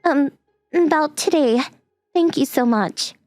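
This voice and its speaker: Woman playing a meek, high-voiced young step-sister. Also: takes it slowly, darkish tone, faint music behind. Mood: shy, affectionate. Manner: hesitant, meek. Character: shy, earnest, softly awkward young step-sister. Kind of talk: anime-style manga dub.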